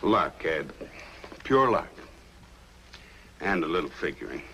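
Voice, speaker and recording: An actor playing a calm, shrewd private detective in a 1950s film noir, with an unhurried, wry delivery. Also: male voice, extremely low pitch, full character voice. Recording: noisy old film soundtrack.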